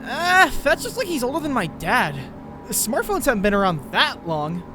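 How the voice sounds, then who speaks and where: A man's voice: very high voice, sing-song, normal speed, in a large hall, over music. A man playing an earnest, intense teenage boy who muses about fate in a comic dub. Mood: intense, wondering. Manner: earnest, musing, introspective. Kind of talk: comic dub acting with first-person narration.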